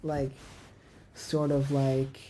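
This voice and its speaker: A woman's voice: extremely low pitch, little pitch movement, very slow, warm tone. A woman whose first language is Cantonese, thinking aloud at her computer as she plans a made-up language, rambling and questioning herself with many fillers. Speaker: language creator. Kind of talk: thinking aloud while screen-recording.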